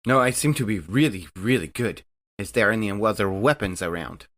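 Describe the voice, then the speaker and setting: A man's voice: fairly low pitch, moderate pace, fairly bright tone. A man playing a tabletop game, speaking casually and partly as his character.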